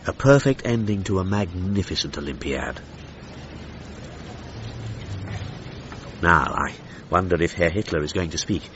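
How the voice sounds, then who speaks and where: Swooping 1930s British radio commentator. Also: male voice, low-pitched, lively intonation, noisy background. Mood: stately, solemn. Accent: English received pronunciation. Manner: measured, stately, precise, florid. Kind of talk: 1930s outside-broadcast commentary. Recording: muffled vintage broadcast, music behind.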